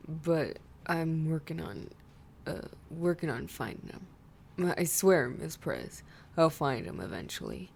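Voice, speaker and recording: A woman voicing a nervous young man who mumbles and hesitates, low and gruff. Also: big pitch swings, takes it slowly, a little mellow, a little hiss or hum, exaggerated voice.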